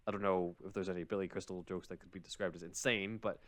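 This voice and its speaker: Male podcaster, lower voice, informal explainer, tight dry recording. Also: steady tone, quick pace, a bit bright, no echo. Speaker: podcast co-host. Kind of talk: casual podcast chat summarizing a script.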